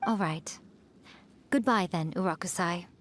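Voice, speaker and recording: A woman's voice: on the low side, measured pace, natural voice. Woman voicing a sly, poised fox yokai. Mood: fond. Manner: smooth, teasing, self-assured. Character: sly, poised, smug female kitsune yokai. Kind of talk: video game voice acting.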